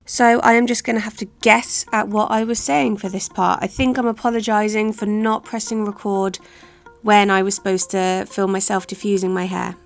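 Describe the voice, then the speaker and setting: A woman, chatting casually through a hair-care vlog, a little croaky, rambling with lots of "sort of". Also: medium pitch, little pitch movement, normal speed, faint music behind. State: slightly croaky voice. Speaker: hair vlogger.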